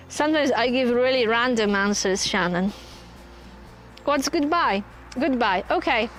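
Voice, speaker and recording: A woman's voice: high-pitched, slight background noise. A woman from Poland with a Polish accent, chatting casually on a live stream from her touring caravan and answering viewer comments. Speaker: channel host.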